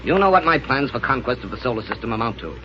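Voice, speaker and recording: A ruthless space conqueror , played by a male actor from a 1930s radio serial. He is smug, scheming and menacing, speaking in a commanding way. The sound is muffled and clearly noisy, like an old broadcast. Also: medium pitch, big pitch swings, quick pace, lightly acted. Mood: persuasive, blunt.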